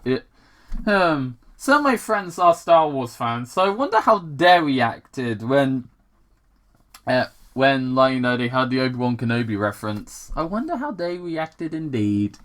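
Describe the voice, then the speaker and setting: An excitable host reads fan fiction aloud with a bright, forceful voice and very lively intonation. The delivery is casual and halting, stumbling and wandering into self-deprecating asides. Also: mid-range voice. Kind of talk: casual dramatic reading with rambling commentary.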